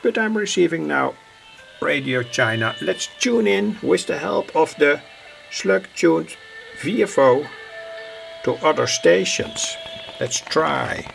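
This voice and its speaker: Male hobbyist demonstrator, heavily gravelly, slow and halting delivery, radio sound playing behind him. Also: on the high side, expressive, fairly bright tone, faint music behind. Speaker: hobbyist radio builder presenting. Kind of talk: unscripted hands-on demonstration narration. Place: indoor home workbench. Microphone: handheld camera's built-in microphone. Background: shortwave radio playing.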